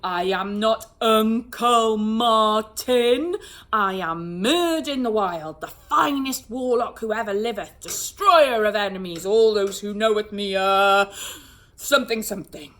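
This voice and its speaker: A man's voice: sits high, takes it slowly, pushed and bright, exaggerated voice. A woman voicing a pompous male warlock who speaks in grand old-fashioned phrases. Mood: grandiose.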